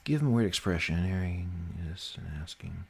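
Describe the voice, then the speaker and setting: A man's voice: low voice, animated, a little fast, vocal fry, slightly rough. A man hosting a development livestream, thinking aloud in an informal, sweary, teasing way. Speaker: livestream host and game developer. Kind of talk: casual livestream chat, thinking aloud while working.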